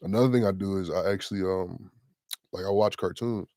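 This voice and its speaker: A young man in his twenties, a rapper with a deep voice, talking casually and loosely in an interview. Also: steady tone, mellow, dry recording, clean studio sound. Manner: laid-back, slangy, drawn-out affirmations. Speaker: rapper, guest.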